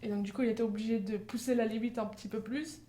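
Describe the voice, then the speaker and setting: Young woman, bright voice. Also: on the high side, fairly even intonation, fast. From France. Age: young adult. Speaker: guest, softball player. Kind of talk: casual interview answers.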